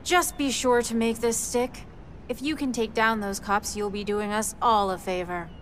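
A woman's voice: a little high, steady tone, moderate pace, a little hiss or hum, natural voice. A woman playing a guarded, self-assured young tech expert in a video game, with a curt, cool manner. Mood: cool, firm. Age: young adult.

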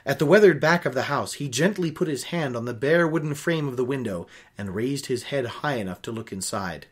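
Young man with a lively, swooping delivery. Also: medium pitch, a little fast, breathy edge. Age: young adult. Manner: animated, opinionated, nitpicking commentary. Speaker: host of a book-reading show. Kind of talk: reading a novel aloud with ranting asides. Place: small home office, indoors.

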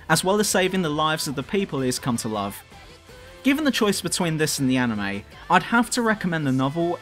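Guy doing a scripted video review, higher voice, lively pitch, faint music behind.

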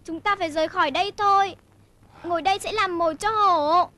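An actress playing a small, timid, quick-witted young rabbit in a cartoon, earnest and pleading. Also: female voice, very high-pitched, sharp tone, no echo. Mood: earnest warning. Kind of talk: cartoon voice acting.

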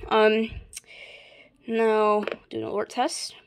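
A hobbyist showing off a collection in a casual, halting, offhand way, filmed on a handheld device in a bedroom. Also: medium pitch, flat delivery, gravelly, very noisy. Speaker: hobbyist video maker. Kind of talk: casual show-and-tell of a collection. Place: small carpeted bedroom, indoors. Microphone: handheld phone or camera, moving around.

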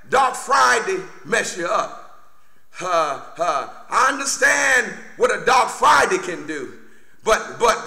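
High, forceful preacher man. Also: vocal fry. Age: middle-aged. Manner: emphatic, exclamatory preaching with repeated phrases. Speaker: pastor. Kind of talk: fervent Baptist Easter sermon. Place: church sanctuary at a pulpit, indoors. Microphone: microphone on boom stand at pulpit. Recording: roomy, echoing church sound.

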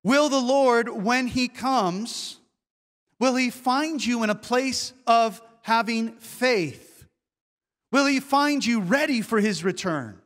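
A male pastor preaching a sermon, asking earnest rhetorical questions and slowing down to stress key words. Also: very high-pitched, fairly bright tone, long reverb tail. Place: church sanctuary.

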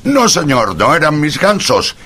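An actor playing a plain-spoken, obliging pub landlord with a husky voice in a period mystery drama. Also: male voice, on the high side, huge pitch swings, measured pace, bright, forceful tone, exaggerated voice. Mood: matter-of-fact. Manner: deferential yet matter-of-fact.

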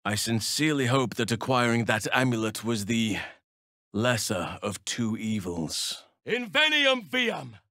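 An actor playing a burly middle-aged male elf druid in a fantasy game, earnest and gentle, with measured, formal phrasing. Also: mid-range voice, big pitch swings, no room sound, studio quality, full character voice. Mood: commanding incantation. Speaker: video game character actor.

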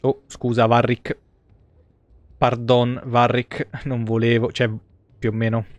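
A man's voice: mid-range voice, completely flat delivery, slow pace. A man, giving casual, sweary, joking live commentary on a video game. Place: indoor room, streaming setup.

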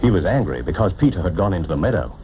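A man narrating a children's storybook cartoon in a theatrical, expressive way, on an old film soundtrack. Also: low voice, racing, crowd noise, rough recording. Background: orchestral music.

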